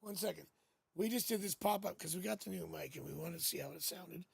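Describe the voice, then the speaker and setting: A man with a raspy voice hosting a casual live tarot stream, chatting playfully and joking through a new studio microphone. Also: high-pitched, a little fast, no echo.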